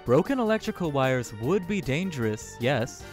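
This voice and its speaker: A man's voice: fairly high pitch, sing-song, soft background music. Man playing a composed, precise courtroom lawyer. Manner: formal, measured, precise. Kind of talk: audio drama acting.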